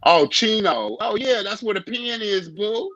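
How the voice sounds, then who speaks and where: A man's voice: sits high, animated, unhurried, a bit bright, no echo, muffled recording. A man from East Oakland joining a live video chat, playful and campy in his banter. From East Oakland, California. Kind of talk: casual group chat.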